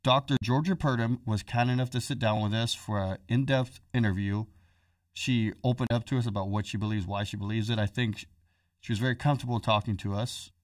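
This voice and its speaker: A man's voice: lowish voice, fairly even intonation, brisk, no room sound, studio quality, far from the mic. A man hosting a podcast in casual chat, joking and telling a story in a chatty way. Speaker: podcast host.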